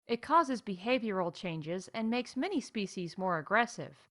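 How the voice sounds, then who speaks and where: A woman's voice: mid-range voice, lively intonation, moderate pace, fairly bright tone, lightly acted. Woman voicing a dry wildlife park expert. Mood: dry, weary. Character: dry, matter-of-fact wild animal park expert.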